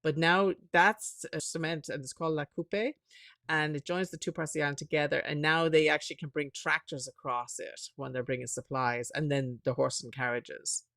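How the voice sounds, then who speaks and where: A woman from Ireland with a softened Irish accent, a guest telling lively stories in a casual interview. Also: low-pitched, moderate pace, no echo, clean studio sound. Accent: Irish, softened by years in America. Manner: lively storyteller, chatty, laughs often. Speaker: author and guest.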